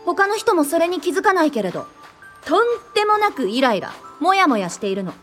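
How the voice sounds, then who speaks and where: A woman's voice: high voice, fairly quick, faint music behind. A woman acting in a Japanese radio drama as a cheeky, impulsive young woman who talks boldly and teasingly. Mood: teasing, exasperated. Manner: bold, teasing, expressive.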